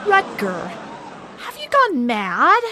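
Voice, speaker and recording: A woman's voice: sits high, wildly swooping pitch, slow, a crowd in the background, background noise, heavily acted. A woman playing a forthright, scornful townswoman who speaks with emphatic exasperation in an amateur radio play. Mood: exasperated. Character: forthright, scornful townswoman defending her side.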